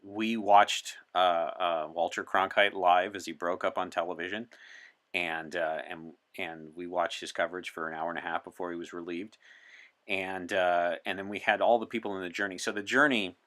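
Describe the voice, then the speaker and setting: Man playing wry, bright-voiced time-traveling 1960s journalist. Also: low voice, fairly even intonation, normal speed. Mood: earnest. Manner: articulate, wry, dry humor. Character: opinionated, wry science-fiction journalist time traveler from 1964. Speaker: podcast guest. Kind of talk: in-character interview chat.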